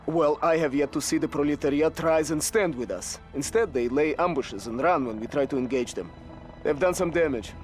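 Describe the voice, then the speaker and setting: A man acts as a vengeful young firebrand of a Soviet captain in a game cutscene, speaking high and insistently in a Russian accent. Loud music plays behind him. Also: normal speed, natural voice. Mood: cold resolve. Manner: intense, insistent, defiant. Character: hot-headed, vengeful Soviet army captain. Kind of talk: video game cutscene acting.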